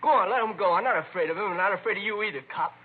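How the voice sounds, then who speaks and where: An actor playing a defiant, desperate eighteen-year-old American son, insolent and insistent, in old-time radio drama style. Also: male voice, high voice, rapid-fire, slightly rough. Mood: desperate, agitated. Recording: tinny, hissy vintage radio broadcast.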